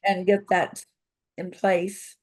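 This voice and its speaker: Older woman, froggy voice, very slow pace, many pauses, video call guest. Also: lowish voice, level tone, darkish tone, husky. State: froggy voice from illness. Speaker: company founder, guest. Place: home office with bookshelves.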